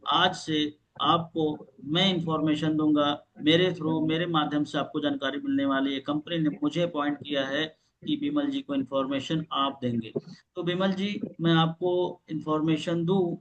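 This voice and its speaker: A man's voice: a little high, flat delivery, moderate pace, a bit bright, muffled recording. A man leading a live online sales training, explaining how to call old leads and acting out both sides of the call with repeated questions. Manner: explains with role-play examples and repeated questions. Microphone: video call.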